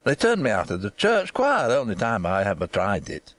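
A woman's voice: extremely low pitch, wildly swooping pitch, voice pushed down, exaggerated voice. A woman reading an audiobook, voicing a testy old rustic gardener. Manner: gruff, slow, testy.

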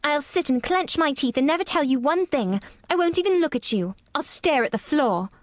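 A woman voicing a stubborn, sour young girl with a sharp, contrary manner in a storybook reading. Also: high-pitched, sing-song, moderate pace, no echo, clean studio sound, voice pushed up. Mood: defiant, sour.

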